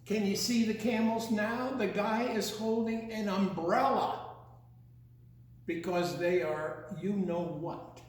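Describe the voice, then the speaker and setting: In a strongly reverberant room, an older man tells a children's story at an unhurried pace. His voice sits high for a man. He draws words out theatrically in a singsong rhythm and breaks off for playful questions to his listeners. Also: steady tone. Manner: theatrical, singsong, drawn-out delivery with teasing questions. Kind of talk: read-aloud picture-book story with playful asides to young listeners.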